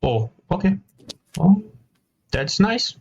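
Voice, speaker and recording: A man's voice: sits high, breathy edge. Croatian-born man, cool and assured debater, cites studies, teasing edge, dull lo-fi call audio. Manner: calm, confident, quick with data and sarcasm. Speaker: debating video chat host. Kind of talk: casual debate on video chat.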